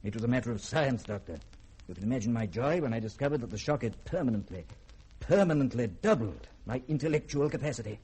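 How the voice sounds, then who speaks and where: Mellow, grandiose scientist. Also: male voice, medium pitch, expressive, fast, slightly rough, low-quality recording. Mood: grave, intent. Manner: grandiose, precise lecturing. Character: proud learned middle-aged scientist, secretive and commanding. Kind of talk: 1950s radio drama acting. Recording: old radio broadcast, faint hiss.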